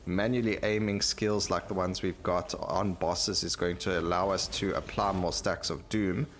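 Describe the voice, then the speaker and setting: A man's voice: deep voice, fairly even intonation, moderate pace, fairly bright tone, slight background noise. A man giving casual gameplay commentary, rambling and thinking aloud as he plays. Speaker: gaming video host. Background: game music.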